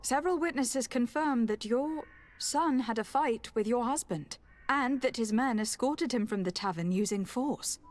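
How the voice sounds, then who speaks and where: A woman's voice: on the high side, normal speed, natural voice. A young woman playing a courteous, composed noble envoy in a video game, speaking formally and asking probing questions. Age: young adult. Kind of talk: dramatic game dialogue.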